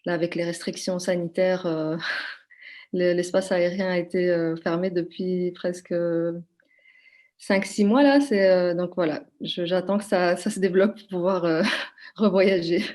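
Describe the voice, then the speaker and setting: A woman's voice: lowish voice, steady tone, a little slow, darkish tone. A woman from Belgium, a podcast guest, talking in a hesitant conversational way with many fillers and restarts. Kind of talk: conversational interview.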